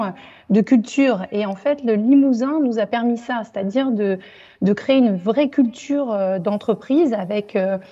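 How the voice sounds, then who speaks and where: Mellow, composed French businesswoman. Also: fairly high pitch, highs cut off. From France, Limoges. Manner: composed, thoughtful, with fillers. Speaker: business owner, guest. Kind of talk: interview answers.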